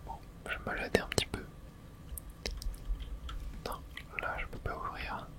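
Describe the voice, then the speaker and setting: A person whispering a breathy, unvoiced ASMR running commentary while playing a video game, in a soft, hesitant murmur. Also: normal speed. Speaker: gaming video host.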